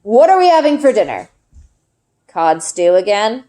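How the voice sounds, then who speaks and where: A woman's voice: mid-range voice, big pitch swings, a little slow, warm tone. A woman voicing an earnest, anxious young girl from a storybook, in a pleading, insistent manner. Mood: calling out.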